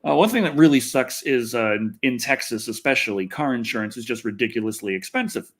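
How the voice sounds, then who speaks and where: Male livestreamer, lively swinging pitch, casual rambling delivery, wry and exasperated with salty language. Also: a little fast. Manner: wry, exasperated, sweary griping. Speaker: livestream host. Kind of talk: casual rambling livestream chat, reading comments.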